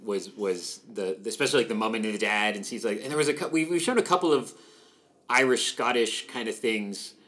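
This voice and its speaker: A man with a Canadian accent chatting casually as a podcast co-host, animated and playfully nerdy. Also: lowish voice, brisk. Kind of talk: casual chat.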